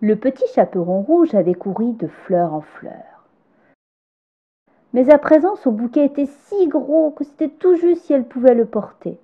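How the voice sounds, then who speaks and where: A woman reading a children's fairy tale aloud, gentle and expressive, sometimes dropping to a hush. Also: sits high, normal speed, narrow, tinny audio. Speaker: storyteller.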